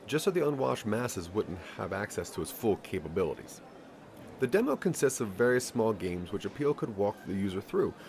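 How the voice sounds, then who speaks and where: A man's voice: fairly low pitch, a little mellow, slight background noise. A man narrating a scripted interactive audio story in the second person, with a theatrical storytelling delivery.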